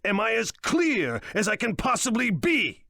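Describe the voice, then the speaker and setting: Ruthless game crime boss, measured and condescending, coolly menacing, close dry sound. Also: male voice, high voice, huge pitch swings, bright, forceful tone, no echo, heavily acted. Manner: smooth, measured, condescending, deliberate. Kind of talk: dramatic video game voice acting.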